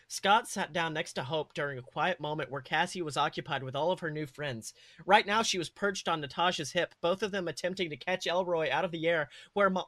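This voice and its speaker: A man narrates a story in an animated read-aloud style, tripping over the odd word. His voice is bright and a little high for a man. The sound is dry and close. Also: no room sound. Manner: animated reading with stumbles. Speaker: podcast host reading fan fiction.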